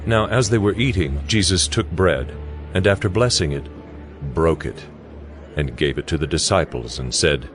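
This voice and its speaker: A man narrating scripture from a script in a measured, solemn way. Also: deep voice. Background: music.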